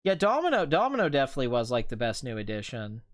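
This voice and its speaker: A man's voice: medium pitch, huge pitch swings, quick pace. A man hosting a casual, rambling live stream as a film commentator, his voice a little strained from hours of talking. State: voice tiring and strained after two hours of talking.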